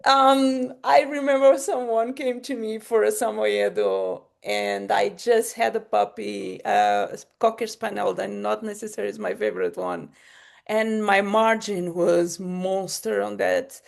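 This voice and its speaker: A woman's voice: mid-range voice, a little slow, gravelly. A woman hosting a podcast, chatting casually and rambling, laughing and cutting herself off. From Portugal. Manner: rambling, laughing, self-interrupting. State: short on sleep. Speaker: podcast host. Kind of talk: casual chat.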